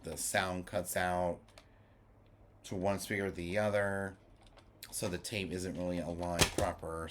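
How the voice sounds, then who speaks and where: Low-voiced man, casual review, noisy background. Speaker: vlogger reviewing music formats. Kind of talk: casual rambling review talk.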